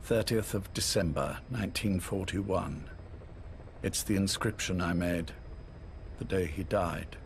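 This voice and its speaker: A man's voice: sits low, measured pace, creaky. A man playing an older army major in a video game, reflective and restrained. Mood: wistful, sombre. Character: wistful, reserved older British military major. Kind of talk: dramatic video game voice acting. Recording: faint noise, recorded tape audio.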